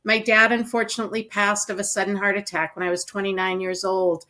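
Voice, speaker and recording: A middle-aged woman from North Dakota, a dietitian and author, telling her story as a guest in a composed, steady way. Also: mid-range voice, a little fast. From North Dakota, USA. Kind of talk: interview answers.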